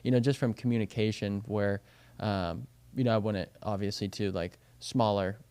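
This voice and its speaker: A young man with a smooth, clear voice sitting a little low in pitch. He talks in loose, rambling podcast chat, leaning hard on "you know" and "like" as he works out his thoughts as a coach. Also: fairly even intonation, moderate pace, darkish tone. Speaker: baseball and softball coach, podcast co-host.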